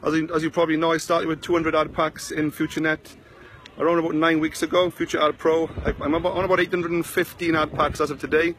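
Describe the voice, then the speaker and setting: A man's voice: a little high, level tone, fast, fairly bright tone, slight background noise. Chatty South Wales man on a beach. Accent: South Wales valleys. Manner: rambling, chatty, greets viewers by name. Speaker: online marketing vlogger. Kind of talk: casual Facebook live chat. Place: sunny beach outdoors. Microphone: phone held at arm's length.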